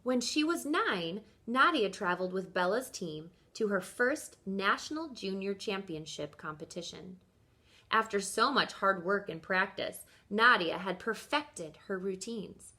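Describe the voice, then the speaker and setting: A woman reading a children's picture book aloud like a teacher, with expressive, deliberate storytelling emphasis. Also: medium pitch.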